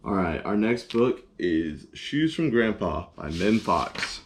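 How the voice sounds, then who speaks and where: A man's voice: on the low side, big pitch swings, slow pace, slight background noise. A man reading children's picture books aloud as a storyteller, in simple language with short explanatory asides.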